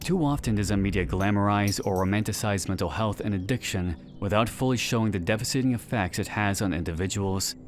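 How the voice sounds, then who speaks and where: A man reading a scripted video-essay narration in a measured, earnest and solemn way. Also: fairly low pitch, a little hiss or hum. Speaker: narrator of a series analysis video. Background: background music.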